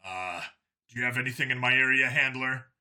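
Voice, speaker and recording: A man's voice: mid-range voice, brisk, sharp tone. Man playing a terse, hard-edged vigilante cop. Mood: grim. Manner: terse, grumbling. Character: gruff, foul-mouthed male vigilante cop, grimly determined.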